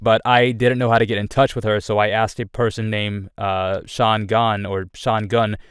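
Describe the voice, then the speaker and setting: A young man speaks low and smooth, with a clean, dry closeness. He talks earnestly about his own path as a content creator. He rambles, often circling back and restarting his sentences. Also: level tone, normal speed, no echo. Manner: earnest and candid. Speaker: YouTuber. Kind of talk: reflective personal update.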